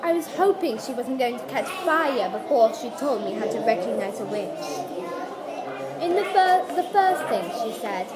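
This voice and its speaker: A woman with a fairly high voice reads a children's story and its dialogue aloud. A loud crowd is heard behind the reading. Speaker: book reader at a read-aloud event.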